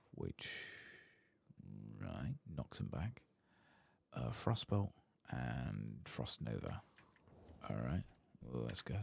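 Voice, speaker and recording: Very deep, gravelly soft-spoken man. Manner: hushed, soft-spoken, at times whispering. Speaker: host of a gameplay channel. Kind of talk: soft-spoken ASMR video game commentary.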